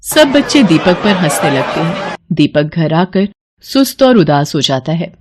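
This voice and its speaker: A woman's voice: fairly low pitch, very animated, a little slow, background noise. A woman storyteller reading scripted narration for a children's moral story.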